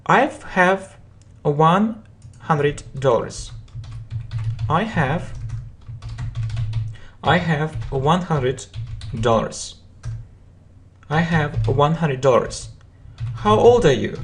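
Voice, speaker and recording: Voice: medium pitch, a little hiss or hum. A teacher giving a language lesson who repeats each example phrase and often breaks it into separate words for the learner to follow. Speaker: English teacher. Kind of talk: slow drilling language lesson.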